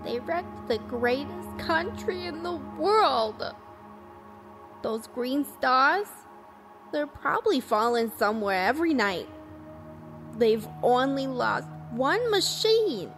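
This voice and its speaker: A woman playing a cynical, defiant survivor of an alien invasion in a radio play, with blunt, folksy, clipped phrasing. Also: high-pitched. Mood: grim, resigned. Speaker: actor in an amateur radio drama.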